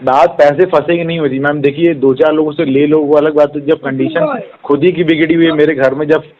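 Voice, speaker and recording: A man talks very quickly on a phone call, his voice a little on the high side. He is a salesman placating a customer, polite and defensive, often cutting in. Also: steady tone, a crowd in the background. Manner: polite, defensive, repeatedly interjecting. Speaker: car sales executive.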